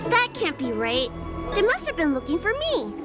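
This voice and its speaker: A woman's voice: very high-pitched, wildly swooping pitch, moderate pace, full character voice. A woman voicing a young girl healer, eager and trusting, in an English-language video game. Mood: puzzled. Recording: loud game music behind.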